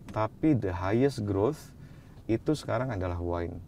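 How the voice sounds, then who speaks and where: A man answering interview questions as a wine expert, relaxed, slipping English phrases into his speech. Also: fairly low pitch, measured pace, slight background noise.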